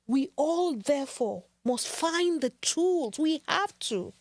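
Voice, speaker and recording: Slow, earnest woman podcast host. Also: high-pitched, lively intonation, no room sound. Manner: earnest, measured, rhetorical. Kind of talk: reflective podcast monologue.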